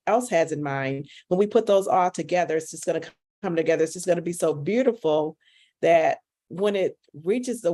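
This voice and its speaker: A woman's voice: fairly low pitch, warm tone. A woman speaking off the cuff in an earnest, emphatic, repetitive motivational way. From United States, Michigan. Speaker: community member guest. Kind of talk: unscripted motivational talk.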